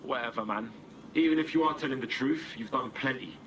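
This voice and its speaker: An actor playing a brash young British rebel in a video game, blunt, slangy and combative. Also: male voice, mid-range voice, fairly quick, roomy sound, noisy background, close to an everyday voice. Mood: hostile. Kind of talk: video game cutscene acting.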